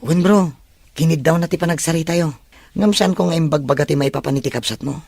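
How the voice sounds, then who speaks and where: Young Filipino male sidekick acted by a man, helpful, lighter pitch, dry close sound, audio drama. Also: quick pace, gravelly, a little mellow, dry recording. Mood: friendly. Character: helpful young male friend and dorm mate. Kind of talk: Ilocano audio drama acting.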